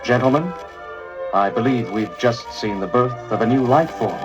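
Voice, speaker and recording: A man playing a composed, authoritative starship captain with deliberate delivery on a read-along record. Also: medium pitch, lively intonation, natural voice. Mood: awed, commanding. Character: Kirk, composed, commanding adult male starship captain. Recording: dull vintage read-along record with music.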